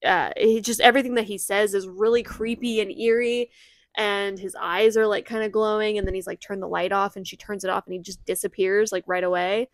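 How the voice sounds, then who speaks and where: A woman's voice: medium pitch, steady tone, a little fast, dry recording. Woman in casual chat, dry recording. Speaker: host of horror film review show. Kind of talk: casual conversational film discussion.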